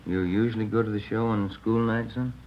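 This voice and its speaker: A dull, hissy vintage radio recording of an actor playing a kindly American detective. His low voice moves quickly, casual and practical, in crime drama dialogue. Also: steady tone, close to an everyday voice. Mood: gently probing. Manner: casual, plain-spoken.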